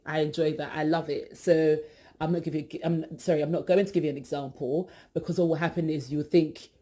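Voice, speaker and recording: A young British woman, hosting her podcast and introducing herself in a chatty, animated way with many fillers and asides. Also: low-pitched. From Britain, born in East London, family from Ghana. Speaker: podcast host. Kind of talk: casual self-introduction.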